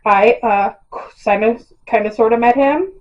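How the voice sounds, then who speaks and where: Hoarse rambling woman, lo-fi sound. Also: fairly high pitch, a little slow. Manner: rambling and self-deprecating, with frequent ums and laughs. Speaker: YouTuber casting book adaptations. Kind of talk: casual chat to camera. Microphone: built-in camera mic, no external mic.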